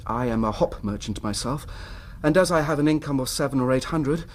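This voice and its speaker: A man's voice: mid-range voice, a little fast, close to an everyday voice. An actor playing an earnest, troubled middle-class English husband telling his story in formal period language for a radio drama.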